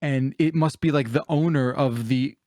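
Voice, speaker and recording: A man's voice: mid-range voice, normal speed, a little mellow, slight background noise. A man hosting a podcast, chatting casually and comedically with the easy looseness of someone telling anecdotes. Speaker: podcast host.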